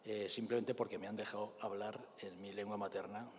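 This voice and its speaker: A man with a Spanish accent, a clinician giving a forum talk in a measured, thoughtful way, often pausing with hesitation fillers. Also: fairly low pitch, fairly even intonation, big-room sound, a little hiss or hum. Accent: Spain. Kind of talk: forum lecture with storytelling.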